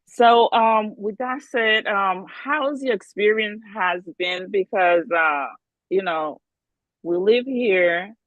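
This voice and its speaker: A woman's voice: mid-range voice, slow pace, no echo. Bronx woman host, dull dry sound. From the Bronx, New York. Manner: friendly, easygoing, many fillers. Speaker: interview host. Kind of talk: casual interview chat.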